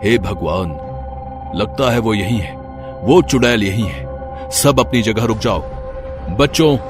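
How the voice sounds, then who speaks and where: An actor playing a stern, protective old forest mystic who speaks in a commanding, dramatic way in a horror story. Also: male voice, fairly low pitch, measured pace, over music, close to an everyday voice.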